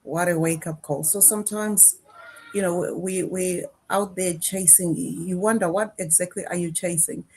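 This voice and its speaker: A woman with a slightly low voice hosts a live online conversation. She speaks in a casual, conversational way, addressing her guests and guiding the discussion between them. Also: fairly even intonation, normal speed, a little mellow. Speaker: host of a live panel discussion.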